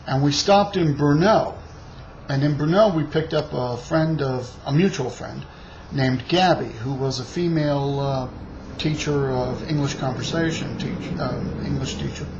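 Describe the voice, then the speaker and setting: A man's voice: on the high side, measured pace, darkish tone. A man from the United States telling a long, rambling travel anecdote in a casual way, full of fillers and side remarks. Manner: rambling, with many fillers and asides. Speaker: storyteller. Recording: dull lo-fi recording with faint hiss.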